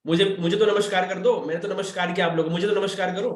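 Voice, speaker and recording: A man's voice: high voice, fairly even intonation, quick pace. A young man from Chhattisgarh hosting an online webinar, talking in a chatty, playful way and speaking straight to his viewers over a video call. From Chhattisgarh, India. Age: young adult. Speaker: webinar host. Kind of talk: casual online webinar talk. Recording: echoey room sound.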